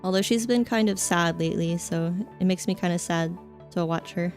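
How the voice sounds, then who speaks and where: Rambling young woman streamer, low voice. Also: normal speed, faint music behind. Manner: rambling, filler-heavy, offhand and joking. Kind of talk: casual chat stream, reading chat.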